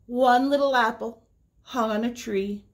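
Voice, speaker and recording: A middle-aged woman hosting a children's story time, reading aloud in a deliberate, sing-song way. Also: a little high, fairly even intonation. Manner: slow, deliberate, sing-song repetition for young listeners. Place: small indoor room by a window.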